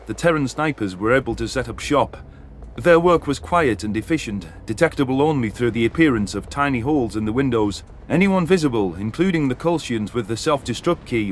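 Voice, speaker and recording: A man's voice: medium pitch, a little hiss or hum. A man narrating a dramatic first-person science fiction story as an audiobook.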